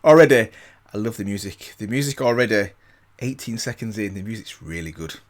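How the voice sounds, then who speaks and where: A man's voice: on the low side, fairly quick, loud music behind the voice. A man giving casual, enthusiastic reaction commentary as a video host, often repeating and rephrasing himself.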